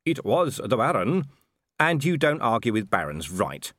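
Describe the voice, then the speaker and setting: A man's voice: mid-range voice, big pitch swings, measured pace, fairly bright tone, no echo. A man playing a grim, middle-aged watch commander with a clipped, sarcastic, menacing way of talking, in a storybook reading. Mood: cold fury.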